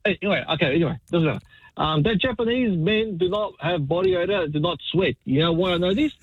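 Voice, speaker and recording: An excitable man, chatting as a caller, his voice jumping higher whenever he insists on a point. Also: fast, husky, dry recording. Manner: excitable, pitch jumps up when insisting. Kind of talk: casual on-air chat. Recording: tinny phone line.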